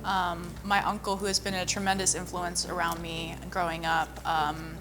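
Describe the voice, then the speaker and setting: A woman's voice: on the low side, level tone, normal speed, noisy background. A young woman, a singer and model, talking casually about her music with lots of "like" fillers. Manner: chatty, frequent "like" fillers. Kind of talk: casual personal storytelling. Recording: music playing underneath.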